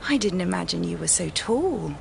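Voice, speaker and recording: An actress playing an eager, flirtatious witness in a radio drama, coy and hesitant in manner. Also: female voice, fairly low pitch, animated, normal speed, creaky, a little mellow, slight background noise. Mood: coy, admiring.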